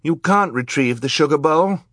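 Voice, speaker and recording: A man's voice: fairly high pitch, lively intonation, moderate pace, fairly bright tone, no room sound, clean studio sound, voice pushed up. A man voicing a resolute, defiant young girl in an audiobook, firm and challenging. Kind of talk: audiobook character acting.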